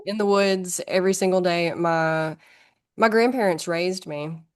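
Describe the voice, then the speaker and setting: A woman from northern Alabama with a thick Southern accent, a podcast guest telling stories in a quick, chatty way. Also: on the low side, fairly even intonation, clear, resonant tone. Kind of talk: casual conversation.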